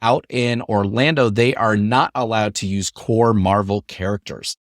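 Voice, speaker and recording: A middle-aged man talking into a close podcast mic, his voice low and a little rough from a recent cough. He keeps things upbeat and chatty, rambling on easily. The sound is dry, with no room around him. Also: fairly even intonation, measured pace, no room sound. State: hoarse after illness, lingering cough. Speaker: podcast host. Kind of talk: solo podcast talk.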